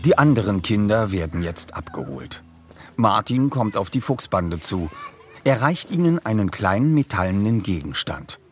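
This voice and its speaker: A man's voice: lowish voice, big pitch swings, normal speed, soft background music. A man narrating a children's detective audio play as a scripted storyteller.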